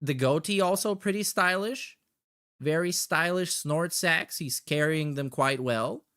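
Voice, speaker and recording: A man with a fairly high voice hosts a casual reaction show. He is sarcastic and mocking, and he swears freely as he comments. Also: a little slow, a bit bright, smooth. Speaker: podcast host reacting to clips.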